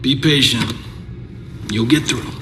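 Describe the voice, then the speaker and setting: Actor as a steady, mid-pitched soldier mentor. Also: male voice, takes it slowly, noisy background, close to an everyday voice. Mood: reassuring. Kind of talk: video game cinematic acting.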